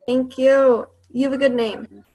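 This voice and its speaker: A woman's voice: sits high, steady tone, unhurried, mellow. A woman hosting a video call, calling on participants one by one in a breezy way. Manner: breezy, prompting speakers in turn. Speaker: call host.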